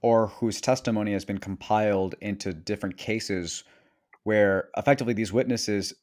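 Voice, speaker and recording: A man from Venezuela hosting an interview podcast, talking in quick, earnest bursts. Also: fairly low pitch, fairly even intonation, a little mellow. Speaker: podcast host.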